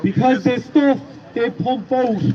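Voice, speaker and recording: An Irish man speaks at an outdoor rally with a big, carrying voice pitched unusually high. He delivers his points slowly and emphatically, repeating himself with indignation. The sound is somewhat dull and muffled. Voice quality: big loud voice. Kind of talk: outdoor protest speech.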